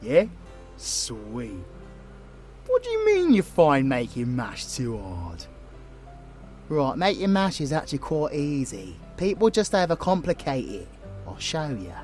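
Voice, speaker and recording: A man's voice: mid-range voice, huge pitch swings, a little slow, darkish tone, faint music behind. A British man playing a flirtatious, protective super villain in an audio roleplay, teasing and comforting a loved one with affectionate slang. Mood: playful, cheeky.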